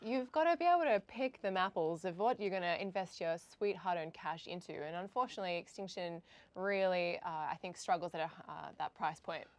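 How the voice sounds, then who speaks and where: A woman's voice: on the low side, brisk. An Australian woman giving a casual game review as a guest on a show, talking in slangy, emphatic phrases.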